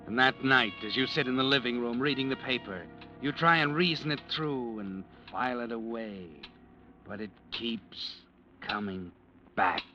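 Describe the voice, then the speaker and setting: A sardonic narrator played by a man needles the listener in a knowing, insinuating way over clear music in an old radio broadcast. The voice sits mid-range for a man. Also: medium pitch, normal speed, dull audio, close to an everyday voice. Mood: mocking. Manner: mocking, insinuating, speaks to the hero as "you". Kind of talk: 1940s radio drama narration.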